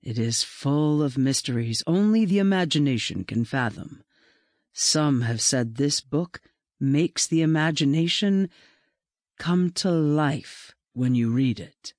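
A narrator voicing a gentle, mysterious male librarian who speaks softly and reverently, with measured dramatic pauses, in a storybook reading. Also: medium pitch, animated, no echo, clean studio sound. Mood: hushed, reverent.

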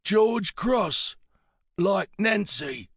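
Man playing a frail, croaky old war veteran, painfully slow. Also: high voice, lively intonation, fairly bright tone, no echo, clean studio sound, voice pushed up, full character voice. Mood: earnest, halting. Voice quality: croaky, wheezy. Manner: halting, short slow phrases.

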